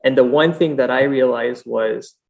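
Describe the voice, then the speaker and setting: A French-Canadian man, a native French speaker, speaks as a startup founder being interviewed. His mid-pitched voice has plenty of vocal fry, and he talks casually with halting, hesitant phrasing. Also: phone-quality sound. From Montreal, Canada. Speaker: podcast guest, startup founder.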